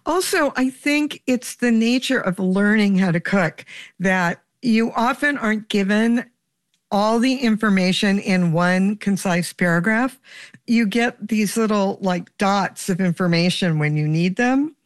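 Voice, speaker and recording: A woman's voice: mid-range voice, a little slow, no echo, studio quality. A woman presenting and interviewing as the host of a show, moving between prepared lines and conversation. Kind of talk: scripted introduction and conversational interviewing.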